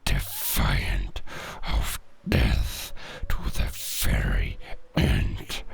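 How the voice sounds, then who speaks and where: A man playing a dying, defiant werewolf king in an audio drama, with a growling voice and solemn, halting delivery. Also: little pitch movement, full character voice. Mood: proud, defiant. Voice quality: very deep gravelly growl. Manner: slow, breathy, halting, solemn. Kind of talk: cinematic audio roleplay acting. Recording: noisy ambience behind the voice.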